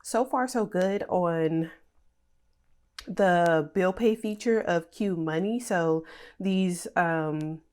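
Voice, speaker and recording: A young woman whose voice sits a little low, sounding nasal and stuffed up from a cold. She talks in a relaxed, chatty way, with plenty of fillers, like a host talking through her planner. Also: takes it slowly, clear, resonant tone. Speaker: budgeting channel host. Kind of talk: casual talk over budget planning.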